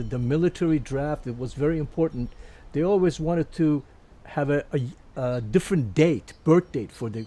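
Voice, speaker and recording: A man, recalling his life in a casual interview, halting with many fillers and restarts. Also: medium pitch, mellow. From Turkey. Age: 70s. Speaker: interviewee, musician.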